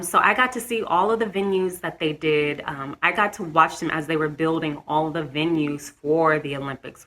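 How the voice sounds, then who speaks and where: A young woman from the US chats to her camera in a loose, rambling vlog. Her voice is bright and forward, pitched a little low, and she restarts sentences and laughs lightly as she goes. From United States. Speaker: vlogger. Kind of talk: casual talk to camera.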